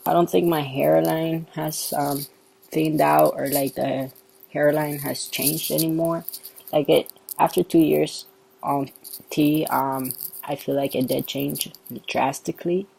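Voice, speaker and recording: A man with a soft, androgynous-sounding voice, talking through a casual update vlog in a relaxed, unhurried way. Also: on the high side, creaky, husky, low-quality recording. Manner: relaxed and unhurried, with frequent ums. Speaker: vlogger.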